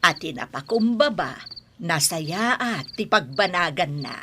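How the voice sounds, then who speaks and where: A woman with a Filipino accent playing a gentle, pious mother giving fond advice in a radio drama. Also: on the low side, expressive, unhurried, noisy background. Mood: gently advising. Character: gentle, pious mother advising her grown daughter. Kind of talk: Ilocano radio drama acting.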